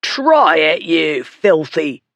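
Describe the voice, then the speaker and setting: A man voicing a brutish, foul-mouthed bully with a hoarse, choking, strained voice in an audiobook story. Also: extremely high pitch, expressive, slow, fairly bright tone, no echo, voice pushed up, heavily acted. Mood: belligerent.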